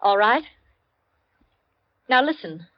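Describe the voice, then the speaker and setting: An actress playing an imperious, steely society hostess in a vintage radio thriller, giving deliberate, clipped orders. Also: female voice, mid-range voice, sing-song, no echo. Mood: icy resolve. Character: imperious, steely society hostess demanding her stolen ring. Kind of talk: 1940s radio thriller acting. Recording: dull vintage radio recording.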